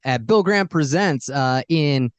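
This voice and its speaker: Animated, high-pitched man bantering as co-host. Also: unhurried, smooth, dry recording. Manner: effusive, jokey, emphatic. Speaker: show co-host. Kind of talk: casual, bantering interview chat.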